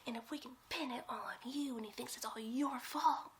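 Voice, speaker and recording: A woman playing a young, giddy, boastful superhero sidekick who schemes and gossips in a breathy whispered ASMR role play. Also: sits high, a little fast, slight background noise. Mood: giddy scheming. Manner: conspiratorial, gossipy, rambling.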